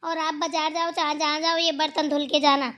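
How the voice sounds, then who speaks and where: A woman's voice: sits high, flat delivery, a little fast, a bit bright. A woman voicing an outspoken, hardworking younger daughter-in-law in a Hindi family cartoon, who talks back, teases and moralizes. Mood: exasperated. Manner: talking back, teasing, moralizing.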